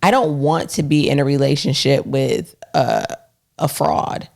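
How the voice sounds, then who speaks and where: A hoarse woman co-hosting a relationship podcast who speaks firmly and matter-of-factly. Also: low voice, fairly even intonation, a little slow, a little mellow. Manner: firm, matter-of-fact, frequent backchannel. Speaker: podcast co-host. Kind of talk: casual relationship chat.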